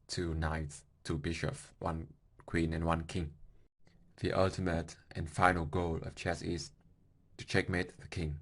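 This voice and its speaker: A man in his twenties from Vietnam with a Vietnamese accent, hosting a solo podcast in careful, halting English with small grammar slips. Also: extremely low pitch. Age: 20s. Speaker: podcast host.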